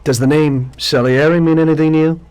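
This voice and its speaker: An actor voicing a weary middle-aged mobster and former cab driver in a game cutscene, speaking in a measured, matter-of-fact way. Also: male voice, on the high side, fairly even intonation, darkish tone, clear, resonant tone, lightly acted. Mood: guarded, earnest. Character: weary mobster and ex-taxi driver seeking a deal. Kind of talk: video game cutscene dialogue and narration.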